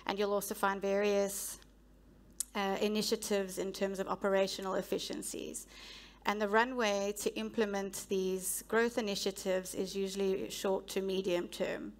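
South African woman, 30s, procurement exec, even-toned and steady, headset mic on stage. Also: mid-range voice. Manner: measured, businesslike, with frequent ums. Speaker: guest speaker, head of procurement. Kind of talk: on-stage interview. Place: stage of a large conference hall.

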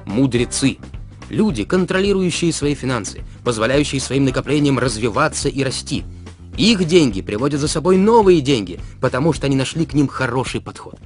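Lively male explainer narrator over music. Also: medium pitch. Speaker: narrator of an educational cartoon about personal finance. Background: background music.

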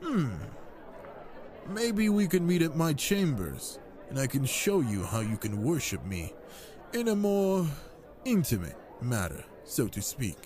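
Man acting flirtatious wine god, genial, smooth-talking, expressive swooping delivery, intimate audio drama. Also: fairly high pitch, measured pace, a faint crowd. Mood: flirtatious, tender.

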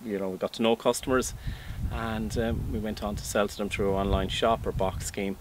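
A man's voice: lowish voice, level tone, fairly quick, noisy background. A man in his fifties from Ireland, an organic farmer speaking plainly and matter-of-factly in an outdoor interview. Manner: plain, matter-of-fact, listing details. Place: outdoors in a vegetable field. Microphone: camera mic, standing interview.

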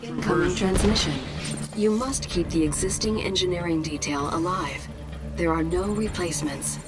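A woman's voice: on the low side, moderate pace, close to an everyday voice. A woman playing a cool, efficient military battle computer, giving clipped, impersonal game announcements. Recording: loud music and vehicle noise behind.

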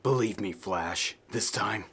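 Casting: a menacing comic-book villain, very raspy growl, low register, slow cold threats. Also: male voice, a bit bright, heavily acted. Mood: cold menace.

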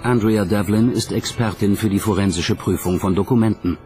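A man with a fairly low voice reads scripted documentary narration. Music plays prominently under his voice. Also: brisk, dark tone. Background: background music.

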